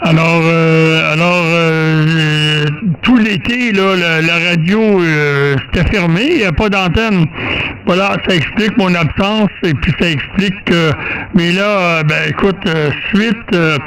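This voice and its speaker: A man's voice: on the high side, steady tone, a little slow, fairly bright tone, slight background noise, poor audio. A man with a Quebec French accent chatting casually as an amateur radio operator, colloquial and repetitive.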